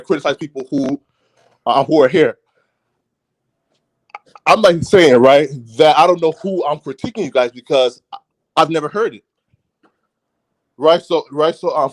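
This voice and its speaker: Male debate panelist, a little high in pitch, halting and repetitive, constantly checking in mid-sentence. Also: rough recording. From outside the United States. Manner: halting, repeatedly adds "right". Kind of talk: casual debate.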